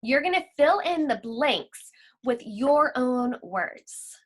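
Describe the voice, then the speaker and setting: High-pitched woman teacher, unhurried pace. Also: expressive, husky. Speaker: school library teacher. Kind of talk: lesson for children, with poem read-alouds.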